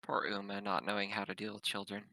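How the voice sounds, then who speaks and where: A man's voice: on the low side, completely flat delivery, quick pace, gravelly, sharp tone, no room sound. A man playing a tabletop roleplaying game, casually improvising narration and chatting.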